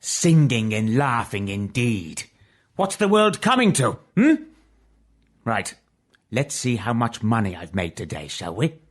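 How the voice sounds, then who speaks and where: A miserly old man, husky and slow. He is curt and scornful, grumbling in big swoops of pitch. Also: very animated. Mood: grumbling, suspicious. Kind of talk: children's audiobook dramatisation.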